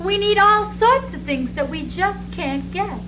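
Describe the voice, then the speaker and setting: A woman's voice: very high-pitched, expressive, a little slow. A woman playing a theatrical Depression-era woman with a projected, dramatic readers theatre delivery. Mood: worried. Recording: echoey, noisy amateur stage recording with hum.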